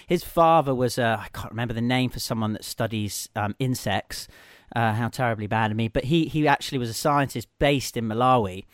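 Brief: male radio host, mid-pitched, dry studio mic, talkative and jokey. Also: fairly quick, dry recording. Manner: chatty, laughs easily, self-correcting. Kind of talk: casual radio chat.

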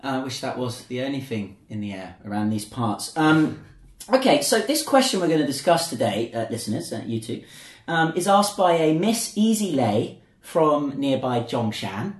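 Chatty host in an echoey room. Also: male voice, medium pitch, sing-song, moderate pace. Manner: rambling, many ums and restarts. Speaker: podcast host. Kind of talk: casual chat. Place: indoor studio.

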